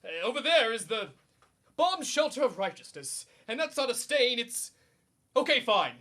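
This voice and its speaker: Casting: male actor as grandiose small-time superhero for radio comedy, animated, forceful tone, flustered under pressure. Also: very high voice, normal speed, exaggerated voice. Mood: defensive, wounded. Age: young adult. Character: pompous, needy, suburban amateur male superhero.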